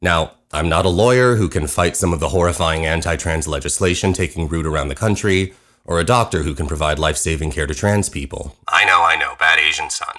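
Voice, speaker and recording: A man hosting his own podcast, talking candidly and quickly in a soothing radio voice. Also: rumbling low, pushed and bright. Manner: fast-talking, conversational, candid. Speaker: podcast host. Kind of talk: personal podcast narration.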